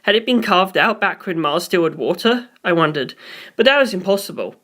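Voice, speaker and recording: A man's voice: high-pitched, lively intonation, a little fast, pushed and bright. A man reading scripted first-person story narration.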